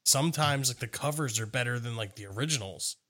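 A man's voice: mid-range voice, fast talker, pushed and bright. A man chatting casually about music in loose, informal phrasing full of filler "like".

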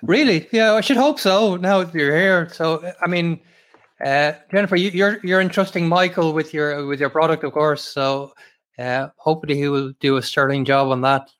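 A man hosting an interview over a video call, measured and formal with many hesitations. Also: a little high, a bit bright. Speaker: host.

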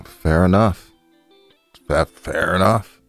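A man's voice: sits low, takes it slowly, creaky, a bit bright, no room sound, faint music behind. A man co-hosting a podcast with casual, colloquial, joking and playfully defensive banter. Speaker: podcast co-host.

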